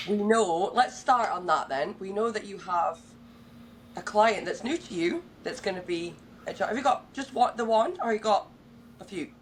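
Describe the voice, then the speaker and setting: Woman host, upbeat and gushing, mid pitch, faint background noise. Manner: bubbly, enthusiastic, swears casually. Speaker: podcast host. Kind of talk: casual podcast chat.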